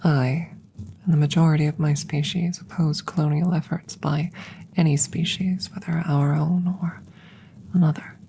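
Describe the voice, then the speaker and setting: A woman plays a calm, curious alien scientist in a whispered ASMR audio roleplay. Her voice is low and dark, with plenty of creak. She speaks in a measured, formal, gently halting way, close to the mic. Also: slight background noise. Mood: earnest, measured. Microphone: close microphone.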